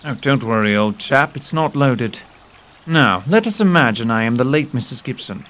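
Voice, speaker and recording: A man's voice: medium pitch, animated, normal speed, fairly bright tone, close to an everyday voice. Man acting a precise, self-assured detective. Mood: demonstrative. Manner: precise, formal, old-fashioned address. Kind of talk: audio theatre acting.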